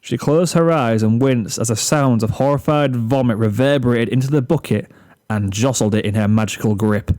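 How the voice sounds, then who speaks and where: A man's voice: mid-range voice, moderate pace, a little mellow. A man reading a comic story aloud as scripted narration.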